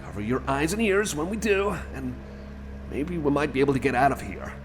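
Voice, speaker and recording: A friendly cyborg companion acted by a man talks over clear background music in a mid-range voice with lively swings in pitch. He rambles nervously in a casual ASMR roleplay, hesitating and doubling back on his phrases. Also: brisk, lightly acted. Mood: wary, protective. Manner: rambling, hesitant, repeats himself.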